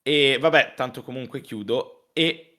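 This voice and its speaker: A man chatting casually as co-host of a stream, telling teasing anecdotes in an animated way and mimicking quoted lines. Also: mid-range voice, unhurried.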